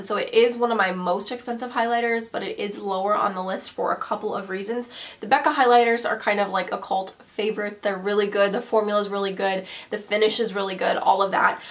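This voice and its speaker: A woman in her twenties with a congested cold, chatting to camera as she reviews makeup in her bedroom, with quick self-deprecating asides. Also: medium pitch, fairly bright tone. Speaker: beauty vlogger. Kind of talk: casual product review to camera. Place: bedroom vanity, indoors.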